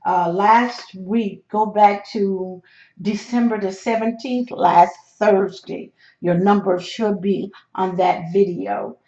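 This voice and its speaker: A hoarse, gravelly host walks slowly through number charts, stopping often to restart a thought. The tone is dark and mellow. The recording sounds dull and lo-fi. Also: mid-range voice, fairly even intonation. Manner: slow, step-by-step explaining with hesitant restarts. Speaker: lottery number tutorial host. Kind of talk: screen walkthrough of number charts.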